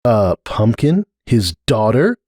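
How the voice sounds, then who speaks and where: Man voicing a frank, indignant young mare, raised pitch. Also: very animated, slow pace, a little mellow, dry recording, studio quality, exaggerated voice. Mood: incredulous. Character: frank female pony, protective friend, indignant and incredulous.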